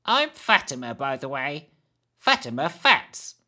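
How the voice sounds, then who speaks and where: A man's voice: medium pitch, big pitch swings, unhurried, sharp tone, exaggerated voice. A man voicing a scruffy, greedy older woman who hisses her words, in a storybook reading. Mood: brisk. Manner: hissing, conspiratorial.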